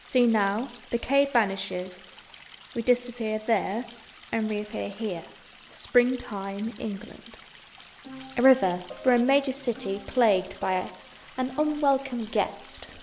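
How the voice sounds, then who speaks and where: Audio drama: composed female guide actress, reproving yet teasing, measured storyteller delivery, fairly high pitch. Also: a little mellow, a little hiss or hum. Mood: storytelling. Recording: music behind the voice.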